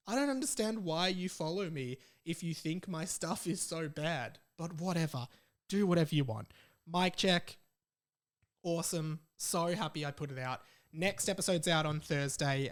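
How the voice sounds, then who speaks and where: A man's voice: fairly high pitch, moderate pace. A young adult man with an Australian accent, chatting casually as a solo podcast host in an informal, self-deprecating way.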